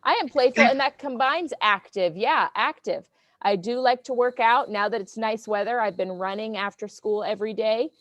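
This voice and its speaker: Brief: dry-sounding woman teacher, loud and playful, keeps kids on track. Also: on the high side, moderate pace, no echo. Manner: loud and goofy, checks in with "okay?". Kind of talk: classroom lesson to children.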